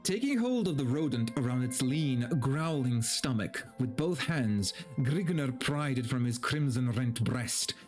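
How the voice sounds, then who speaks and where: A man's voice: medium pitch, animated, a little slow, hardly any pauses, darkish tone. Guy streaming a hammy dramatic reading, very up and down voice, exasperated little asides, faint music under it. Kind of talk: theatrical story narration with casual asides.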